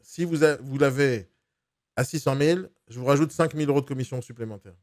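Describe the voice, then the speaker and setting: Pushy fast-talking man on a call. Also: medium pitch, a little mellow, dry recording, clean studio sound. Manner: pushy, insistent, repeats himself. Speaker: mystery shopper posing as buyer. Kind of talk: recorded phone call.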